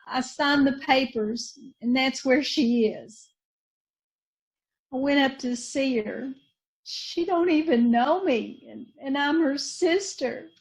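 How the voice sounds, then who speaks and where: A woman's voice: sits high, poor audio. A woman acting a grieving, bitter sister in an old-time radio drama, with plain folksy speech and repeated phrases. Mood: numb grief. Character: grieving, bitter sister of a committed young mother.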